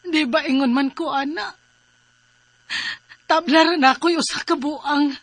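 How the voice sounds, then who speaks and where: Troubled stubborn wife in a radio drama, super high bright voice, plaintive, dry recording. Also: female voice, fairly even intonation, a little slow, no room sound. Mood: weary, despondent. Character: distressed, stubborn wife suffering a nervous breakdown.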